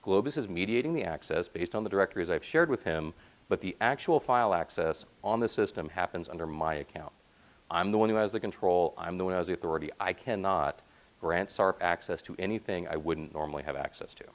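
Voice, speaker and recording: A man with a deep, resonant voice presenting technical slides at a conference, explaining things in a plain, informal way. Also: fairly quick. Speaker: conference presenter, software platform team member. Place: presentation room, speaking to slides.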